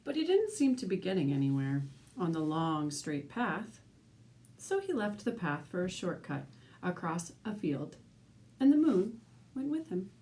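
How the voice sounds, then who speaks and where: A woman's voice: on the low side, unhurried. A woman teacher reading a picture book aloud expressively, speaking as if to young listeners. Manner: animated, with asides and questions to young listeners. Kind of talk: expressive children's storybook read-aloud.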